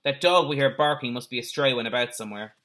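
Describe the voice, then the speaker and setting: A man voicing a brusque, dismissive villain in a children's storybook, impatient and forceful. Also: medium pitch, fast, close to an everyday voice.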